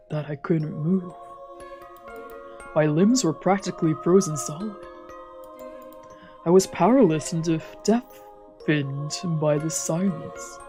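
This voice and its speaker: A woman's voice: low voice, mellow. Woman narrating a visual novel over music. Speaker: streamer reading a visual novel aloud. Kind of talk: dramatic narration of a let's play.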